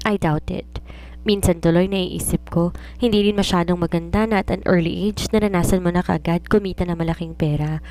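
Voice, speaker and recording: A woman's voice: on the low side, fairly even intonation, brisk, darkish tone, close to an everyday voice, rough recording. A woman voicing a wealthy widowed mother, wry, reproachful and possessive, with pointed phrasing and some English mixed in.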